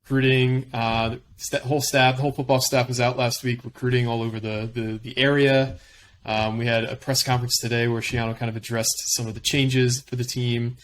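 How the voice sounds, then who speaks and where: A man's voice: medium pitch, flat delivery, brisk, a little hiss or hum. A man hosts a casual sports podcast, leading the chat and ribbing his co-hosts. He keeps the talk loose, jokey and teasing. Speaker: podcast host.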